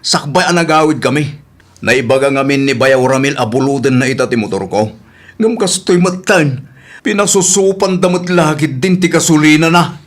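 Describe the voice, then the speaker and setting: An actor playing an indignant village husband in a radio comedy drama, grumbling emphatically. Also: male voice, a little high, moderate pace, close to an everyday voice.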